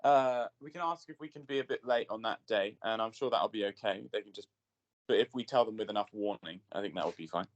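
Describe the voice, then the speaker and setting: A man's voice: fairly low pitch, quick pace. A young man, a performing arts student, politely voicing his concerns. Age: young adult. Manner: polite and careful, voices concerns. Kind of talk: casual planning call. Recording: muffled call audio.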